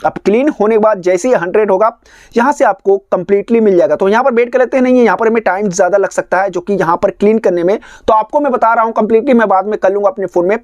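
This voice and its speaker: A man's voice: high-pitched, fast, darkish tone, no room sound. A man hosts a phone tutorial. He explains one step at a time, often repeating his instructions. The recording is dry, with very little room sound around the voice. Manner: repetitive, instructional phrasing. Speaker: tech tutorial host.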